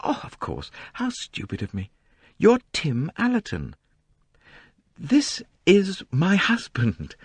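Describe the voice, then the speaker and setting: A man voicing a shy, proud young woman who speaks softly with a faint tremor. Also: medium pitch, huge pitch swings, measured pace, vocal fry, husky, dry recording, pitched above the performer's own voice, heavily acted. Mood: gracious. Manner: soft, faintly trembling.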